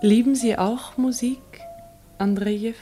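An actress playing a romantic, innocent teenage girl, a governor's daughter, in a radio drama, dreamy and gently teasing. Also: fairly high pitch, steady tone, unhurried, soft background music, lightly acted.

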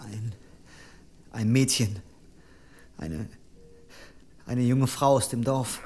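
An actor playing a timid young monk in an audio drama, shaken and obedient, with a halting, hesitant delivery. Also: male voice, medium pitch, mellow, slight background noise. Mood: nervous. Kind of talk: German audio drama acting.

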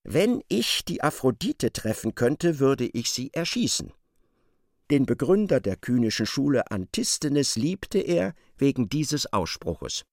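A man acting as the sardonic narrator of a literary radio play, articulate and expressive. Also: medium pitch, unhurried, dry recording, studio quality. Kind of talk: dramatised scripted narration.

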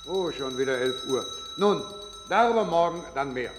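A man's voice: on the high side, expressive, moderate pace, over music, natural voice. An actor playing an authoritative professor in a German radio play, formally instructing and questioning his medical students. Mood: instructive. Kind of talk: German radio play acting, lecture delivery. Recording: echoey hall with long reverb.

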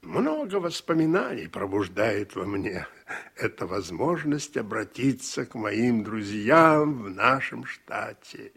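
An actor playing a proud old politician in a radio play, with a gravelly, husky voice. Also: male voice, on the low side, big pitch swings, slow, fairly bright tone, heavily acted. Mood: solemn. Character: proud old male politician, former governor. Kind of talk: Soviet radio play acting.

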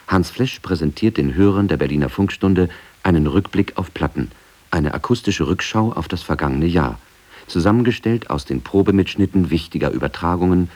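Husky deep-voiced male documentary narrator. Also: a little mellow. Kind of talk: scripted radio documentary narration.

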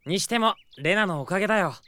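A bright, excitable young male thief. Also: mid-range voice, fast, dry recording, studio quality. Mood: flustered, fond. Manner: brash, informal, excitable. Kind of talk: Japanese anime-style radio drama acting.

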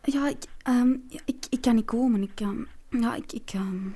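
A woman's voice: fairly high pitch, normal speed, dark tone, lightly acted. An actress with a Flemish accent playing an exasperated woman in a breakup who dotes on her dog, in a naturalistic comedy radio play. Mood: flustered, hesitant.

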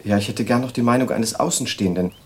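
An actor playing an intent young writer, husky and measured, in a literary audio drama. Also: male voice, mid-range voice, lightly acted. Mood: inquisitive. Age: young adult.

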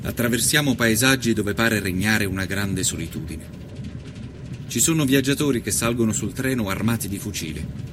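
Actor as composed journalist narrator, noisy backdrop. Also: male voice, on the low side, moderate pace, close to an everyday voice. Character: male investigative newspaper journalist of 1890s, observant, composed. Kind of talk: scripted documentary narration.

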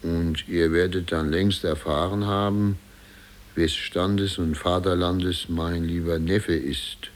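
An actor playing a pompous, blustering, superstitious small-town mayor in a 1950s German radio play. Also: male voice, deep voice, steady tone, slow. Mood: grave, slow reading. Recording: 1950s radio recording from cassette.

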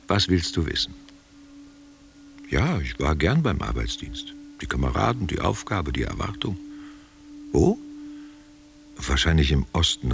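Very deep, creaky old raconteur. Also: male voice, a little hiss or hum, exaggerated voice. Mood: reminiscing. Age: older. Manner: musing, theatrical, answering unheard questions. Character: reminiscing old German actor, tender and musing over wine. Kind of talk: literary radio drama monologue.

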